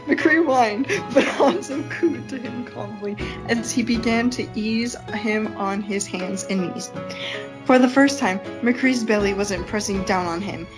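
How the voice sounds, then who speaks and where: A woman's voice: fairly high pitch, measured pace, music in the background. A woman podcast host reading fan fiction aloud in a casual way, breaking off to add informal comments.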